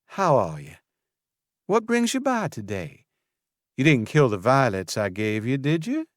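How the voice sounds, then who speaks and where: A man's voice: mid-range voice, huge pitch swings, a little slow, dry recording, clean studio sound, pitched above the performer's own voice. A man voicing a cheerful young woman florist with a Southern US drawl, folksy and chatty.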